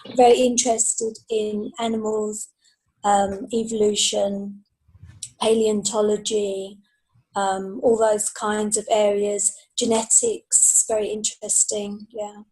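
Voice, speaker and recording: A middle-aged British woman giving thoughtful, halting answers as a guest in a casual interview. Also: mid-range voice, little pitch movement, mellow, breathy edge, rough recording. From Britain. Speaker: podcast guest, science fiction author.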